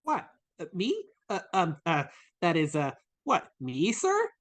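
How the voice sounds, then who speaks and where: A woman's voice: on the low side, wildly swooping pitch, unhurried. A woman voicing a small, eager bunny errand boy in a live reading, stammering and hesitant. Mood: flustered, hesitant.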